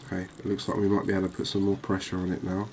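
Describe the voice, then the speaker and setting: A man giving quiet, cozy ASMR commentary on a game, unhurried and thinking aloud. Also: low-pitched, monotone, a little hiss or hum. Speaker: gaming video host.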